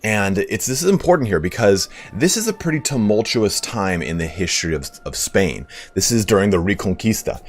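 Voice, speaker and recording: A man streaming a game while giving a casual, rambling history talk, hoarse today, with blunt profanity and shout-outs to donors. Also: fairly low pitch, animated, a little fast, few pauses, faint music behind. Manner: rambling, profane, reads donations aloud.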